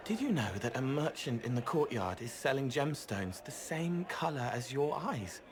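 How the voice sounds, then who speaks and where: An actor playing a gentle, odd young spirit in a video game, soft, naive and literal in manner. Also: male voice, medium pitch, sing-song, moderate pace, a crowd in the background, close to an everyday voice. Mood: innocent. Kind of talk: video game dialogue acting.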